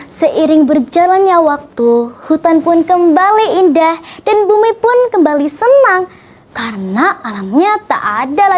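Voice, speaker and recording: A young female storyteller, reciting a children's tale in an expressive, deliberate, theatrical way. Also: high-pitched.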